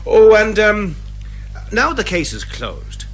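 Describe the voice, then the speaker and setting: A British actor playing a folksy, firm police inspector in a radio detective comedy, colloquial and avuncular. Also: male voice, high voice, big pitch swings, slow pace, faint music behind, full character voice. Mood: solemn.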